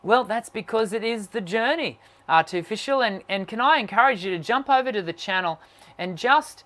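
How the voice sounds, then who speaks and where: Man, high natural voice, singing coach, casual live stream, musing, reads out comments. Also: expressive, normal speed, sharp tone. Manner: musing, reads viewer comments aloud, many fillers and restarts.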